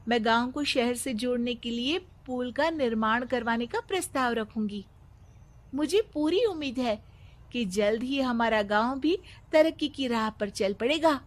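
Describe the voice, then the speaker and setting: A woman's voice: fairly high pitch, a little slow, lightly acted. A woman voicing a confident young village girl student in a cartoon story, speaking earnestly and articulately.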